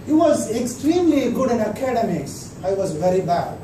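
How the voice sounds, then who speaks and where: A man's voice: sits high, very animated. Man, humorous club speech, dry storytelling, distant mic, background noise. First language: Tamil. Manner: dry storytelling, pauses for laughs. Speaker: club speaker. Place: meeting hall. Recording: roomy hall from far off with noise.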